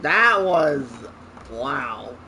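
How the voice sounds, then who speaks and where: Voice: mid-range voice, very animated, extremely slow, pushed and bright, slight background noise. A gamer giving casual gameplay commentary, with exclamatory, muttered reactions and some swearing. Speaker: gamer reacting while playing.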